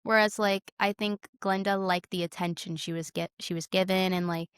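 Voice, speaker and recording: A woman's voice: medium pitch, fairly even intonation, normal speed, a bit bright, smooth, no echo, clean studio sound. A young adult woman talking casually and conversationally about films as a podcast co-host. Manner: informal, conversational.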